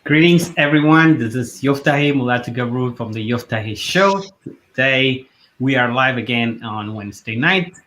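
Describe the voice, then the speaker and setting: A man's voice: medium pitch, a bit bright, poor audio. Earnest male talk show host. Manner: earnest, halting with fillers. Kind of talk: hosting a live online talk show.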